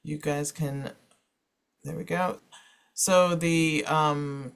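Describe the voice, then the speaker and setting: A man's voice: a little high, steady tone, drawn-out words, gravelly, a bit bright, smooth. A man moderating an informal panel presentation, talking in a discursive way peppered with "you know".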